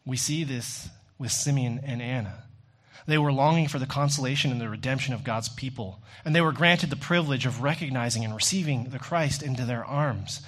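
A man preaching a sermon in an earnest, deliberate manner with rhetorical phrasing. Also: medium pitch, fairly bright tone.

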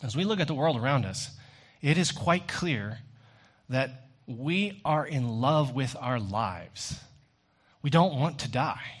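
A man preaching a sermon in an earnest, deliberate manner with rhetorical phrasing. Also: medium pitch, expressive.

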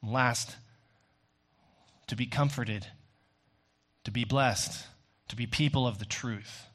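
Male preacher, medium pitch, earnest sermon delivery, deliberate, rhetorical phrasing. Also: a bit bright.

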